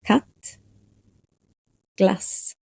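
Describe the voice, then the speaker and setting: A woman narrating a language lesson in a slow, measured way. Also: mellow, dry recording, studio quality.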